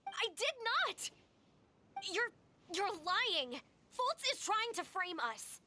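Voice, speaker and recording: A fierce, emotional orphan girl in a game, speaking with a high, bright voice. She talks slowly and her pitch swings widely. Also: very animated, heavily acted. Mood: defiant. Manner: defiant, emotional.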